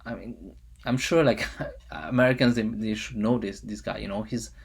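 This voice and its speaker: A man's voice: lowish voice, fairly even intonation, normal speed, vocal fry, slightly rough. A young man from France with a French accent, casually reviewing a series in a rambling vlog full of fillers and restarts. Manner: rambling, many fillers and restarts, chuckles often. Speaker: vlogger.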